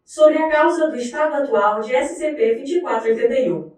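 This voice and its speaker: High-voiced woman in heavy echo. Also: expressive, fairly quick, darkish tone. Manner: formal, impersonal announcing. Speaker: document narrator. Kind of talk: scripted narration, formal report reading. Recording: heavy echo, distant from mic.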